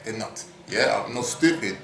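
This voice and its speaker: A man in his thirties, raised in France, hosting a casual podcast monologue in an animated, hyping way with casual swearing, recorded at a gym. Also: fairly low pitch, normal speed, vocal fry, roomy sound, faint music behind, poor audio. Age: 30s. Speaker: podcast host. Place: gym at work.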